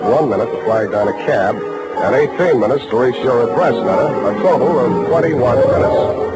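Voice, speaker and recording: An actor playing a wisecracking, sardonic private detective in a 1940s-style radio drama. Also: male voice, medium pitch, lightly acted, low-quality recording. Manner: dry, sardonic, wry. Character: wisecracking hard-boiled male private detective. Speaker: actor, narrator and lead. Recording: old radio broadcast recording.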